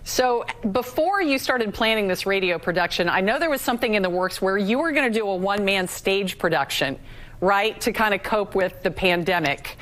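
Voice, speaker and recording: A woman's voice: medium pitch, lively intonation, normal speed, fairly bright tone. A woman interviewing as a TV host in a friendly, informal, chatty broadcast style. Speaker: TV news host interviewing a guest.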